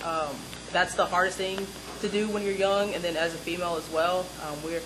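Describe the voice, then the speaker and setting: A woman's voice: on the low side, steady tone, brisk. A young woman on a discussion panel who talks emphatically and projects her voice. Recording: noisy live event recording.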